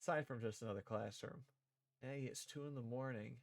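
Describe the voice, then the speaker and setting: A man's voice: mid-range voice, fairly quick, creaky, no echo. A man reading visual novel dialogue aloud and performing the parts, with casual asides as a streamer.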